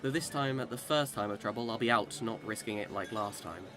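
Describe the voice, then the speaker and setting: A man's voice: fairly low pitch, a little fast, close to an everyday voice. A man acting in a radio drama as a boastful immortal Englishman who swings between cheer and despair, with theatrical old-time speech. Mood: wry, self-assured.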